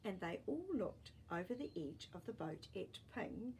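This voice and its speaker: A woman storyteller reading a children's story expressively, with deliberate pauses and dramatic emphasis. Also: lowish voice, animated, a little mellow, slight background noise. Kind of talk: expressive storybook narration with asides to young listeners.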